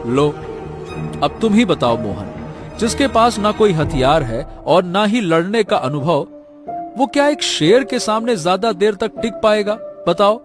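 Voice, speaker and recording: A man's voice: sits high, lively intonation, a little slow, music in the background. A man playing a sensible, protective elder brother from a poor village in a cartoon tale, speaking in an earnest, reasoning way. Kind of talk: Hindi cartoon story dialogue.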